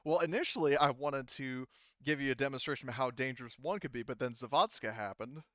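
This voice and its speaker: A man with a smooth, resonant voice rambles through lore as game master, full of "like" and "um." His voice comes through dry and a little dull, as on an online call. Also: mid-range voice, brisk, a bit bright, no echo. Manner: rambling, filler-heavy explaining. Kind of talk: casual out-of-character explanation.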